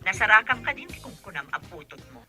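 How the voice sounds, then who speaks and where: A woman's voice: medium pitch, animated, fast, over music. A woman acting a prying, taunting caller through a telephone filter in a radio comedy drama.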